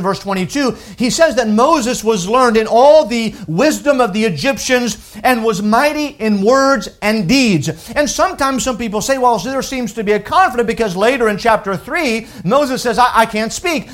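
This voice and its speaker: High-voiced preacher with a French accent. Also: male voice. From France. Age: around 40. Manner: animated preaching, frequent stammered restarts. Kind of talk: sermon.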